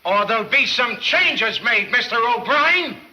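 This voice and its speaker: A man's voice: very high-pitched, lively intonation, a little slow, pushed and bright, full character voice. An actor playing a sour, threatening American mayor in a 1940s crime serial, scolding his listener. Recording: noisy 1940s film soundtrack.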